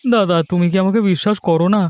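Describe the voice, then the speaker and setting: Man voicing a high-pitched scheming village fish trader. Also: fast talker, darkish tone, no room sound, natural voice. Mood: falsely friendly. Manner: persuasive, pushy, smooth-talking. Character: cunning, greedy male village fish trader, falsely friendly. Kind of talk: Bengali cartoon story voice acting. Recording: music bed under the voice.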